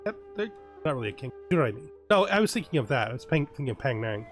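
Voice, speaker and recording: A man giving relaxed let's play commentary on a strategy game, thinking aloud and often restarting his sentences. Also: medium pitch, rapid-fire, soft background music. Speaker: gaming YouTuber.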